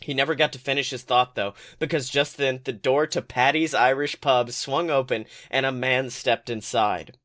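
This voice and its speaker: A man podcast host reading fan fiction aloud as expressive, colloquial story narration. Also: mid-range voice, normal speed, few pauses.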